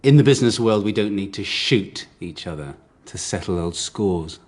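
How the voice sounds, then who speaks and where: A man's voice: deep voice, expressive, moderate pace, darkish tone. An actor playing a guarded, prickly businessman under questioning in a radio drama, curt and defensive. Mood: guarded, dry.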